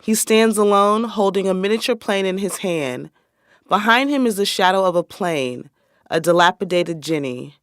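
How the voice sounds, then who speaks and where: High-pitched man reading stage directions. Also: animated, moderate pace, fairly bright tone, clean tone, dry recording, studio quality. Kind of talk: scripted narration of a play.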